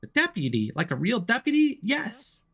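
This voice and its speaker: A man's voice: extremely high pitch, huge pitch swings, normal speed, gravelly, a bit bright, breathy edge, voice pushed up, full character voice. A man voicing an eager, friendly little boy with a childlike manner, for a story game. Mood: excited, eager. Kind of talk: visual novel character voice.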